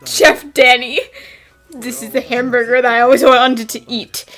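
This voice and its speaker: A woman's voice: fairly high pitch, little pitch movement, moderate pace, fairly bright tone, faint music behind, lightly acted. A woman voicing a courteous, sincere male company president in a cartoon fan dub, heartfelt in manner. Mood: grateful.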